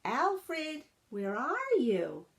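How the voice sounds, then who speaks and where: A friendly young storybook dog, voiced by a woman reading aloud, speaks in a high, chirpy voice. He sounds eager and bouncy, pitched well up. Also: wildly swooping pitch, extremely slow, heavily acted. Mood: calling out.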